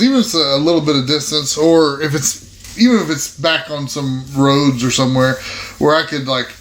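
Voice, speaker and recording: A man playing a tabletop roleplaying game, chatting casually and thinking aloud in halting, repeated phrases. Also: a little high, fairly bright tone. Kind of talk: casual game-table chat, improvising in character.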